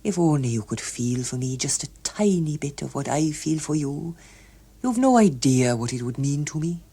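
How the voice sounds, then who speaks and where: A woman's voice: sits low, animated, normal speed, warm tone, slightly rough, exaggerated voice. A woman voicing an earnest, lovesick, self-pitying man with a hesitant, pleading manner in an audiobook.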